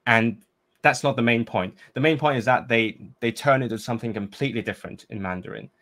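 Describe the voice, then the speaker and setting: A man whose first language is Cantonese, chatting as a podcast guest in a thoughtful, halting way with many fillers. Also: medium pitch, a bit bright. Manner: thoughtful, hesitant with frequent fillers and restarts. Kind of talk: casual conversation.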